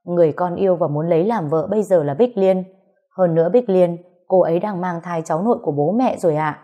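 A woman's voice: on the low side, fast, lo-fi sound, close to an everyday voice. A woman voicing a polite young adult son who pleads with his parents in an audio story. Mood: pleading.

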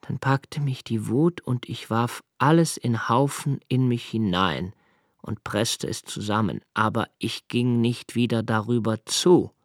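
An actor playing an introspective, haunted man who recalls his childhood in a literary radio play, with measured, precise phrasing and deliberate pauses. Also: medium pitch, no echo, clean studio sound, close to an everyday voice. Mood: distressed. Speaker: radio drama narrator. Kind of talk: literary first-person radio play narration.

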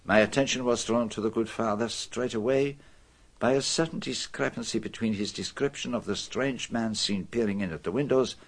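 An actor playing a self-assured, methodical detective in a radio drama, speaking formally with dramatic emphasis. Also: male voice, medium pitch, normal speed, lightly acted. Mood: measured, probing. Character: famous male detective, methodical, self-assured, theatrical in revealing a murderer.

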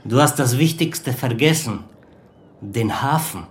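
An actor playing a menacing, condescending biker club boss in a crime radio drama. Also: male voice, mid-range voice, animated, lightly acted. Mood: mocking, menacing. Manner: slow, condescending, threatening.

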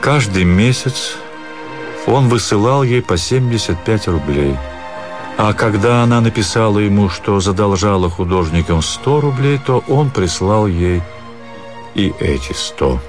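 A man narrating a literary story for a radio drama in a measured, expressive storytelling manner. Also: lowish voice. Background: music.

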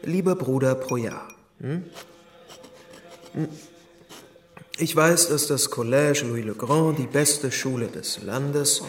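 A man's voice: mid-range voice, natural voice. A male actor playing a commanding abbot who dictates in a formal, pondering way in a radio drama. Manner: pondering, pausing with hums, formal.

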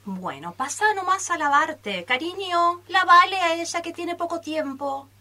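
A woman's voice: very high-pitched, expressive, a little slow, noisy background. A woman with an Argentine accent playing a theatrical, effusive salon hairdresser in an improvised radio play, full of pet names. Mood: bossy, coaxing. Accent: Argentine Spanish. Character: gushing, theatrical, reproachful female hairdresser running her salon.

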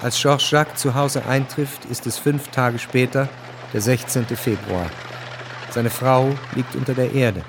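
An actor as the sober, measured narrator of a historical radio drama, reading scripted literary prose. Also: male voice, medium pitch, steady tone, background noise, lightly acted.

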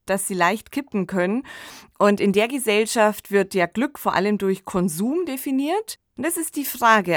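A woman's voice: medium pitch, no echo. Dry-sounding female podcast co-host. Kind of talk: casual podcast discussion.

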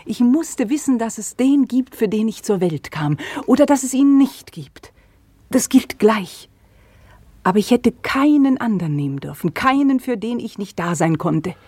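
Breathy, troubled widow in a radio drama. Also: female voice, a little high, animated, dark tone, dry recording. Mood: bitter, intense. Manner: breathy, halting, intense, often dropping to a whisper. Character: troubled, brooding widow Inés, estranged from her fiancé. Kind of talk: German radio play acting.

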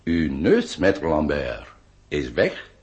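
A man's voice: very deep voice, sing-song, darkish tone, highs cut off, exaggerated voice. A man acting in a radio play as a self-assured, vain nineteenth-century surgeon who speaks in a formal, pedantic, soothing way. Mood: calming. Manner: pompous, formal, deliberate emphasis. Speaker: radio drama actor. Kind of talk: theatrical Dutch-language radio play acting.

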